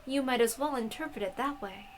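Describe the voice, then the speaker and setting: A woman voicing an ancient, frail, haughty witch from a visual novel, speaking quietly in measured, formal, imperious phrases. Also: fairly high pitch, a little hiss or hum, natural voice. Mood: serene, superior. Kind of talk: visual novel read-aloud.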